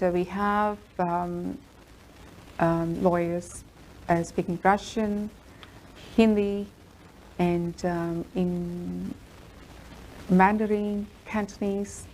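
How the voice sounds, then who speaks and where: A woman lawyer, a native Telugu speaker, answering interview questions in an earnest, steady storytelling manner. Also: lowish voice, very slow, long pauses, a little hiss or hum, rough recording. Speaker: immigration lawyer.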